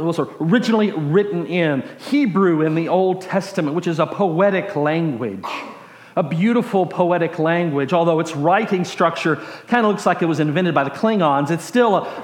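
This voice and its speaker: An American man from Texas preaching through personal stories in a reflective, halting way with dry humorous asides. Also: high-pitched. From Texas, USA. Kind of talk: sermon storytelling. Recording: echoey room.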